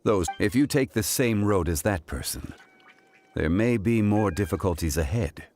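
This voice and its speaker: Ancient god, deep and solemn. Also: male voice, expressive, a little mellow, a little hiss or hum. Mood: grave, reflective. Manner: measured, formal, grave delivery. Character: calm, ancient male god, solemn and weary. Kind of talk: video game voice acting.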